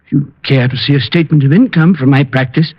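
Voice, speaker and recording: A fairly high older male voice, as a dignified, self-important surgeon in an old radio play. His speech is formal and courteous, on a dull vintage recording. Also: fast talker, slightly rough. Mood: indignant. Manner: formal, courteous, indignant when challenged. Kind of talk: 1940s-50s radio acting.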